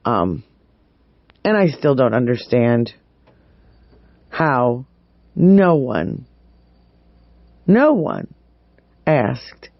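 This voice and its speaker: A man's voice: mid-range voice, sing-song, extremely slow, creaky, long pauses, no room sound. A man hosting a true crime vlog, giving casual, opinionated news commentary and repeating words for emphasis. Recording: dull, muffled lo-fi audio.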